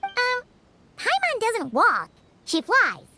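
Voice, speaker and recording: An actress voicing a tiny, childlike flying fairy companion in a video game, squeaky, cutesy and inquisitive. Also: female voice, huge pitch swings, slow pace, pushed and bright, slight background noise, heavily acted. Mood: curious. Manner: speaks of self in third person, cutesy, inquisitive. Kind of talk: cartoonish video game voice acting.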